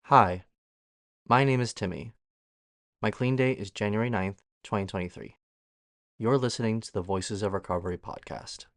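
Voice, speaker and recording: A man's voice: fairly low pitch, moderate pace, pauses often, dry recording. A man reading a scripted daily reflection aloud for a recovery podcast. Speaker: guest reader on a recovery podcast.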